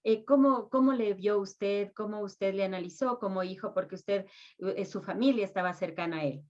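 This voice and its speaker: A woman's voice: medium pitch, moderate pace. Woman radio host, polite formal questions, kinda muffled audio. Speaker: radio interviewer. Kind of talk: formal interview.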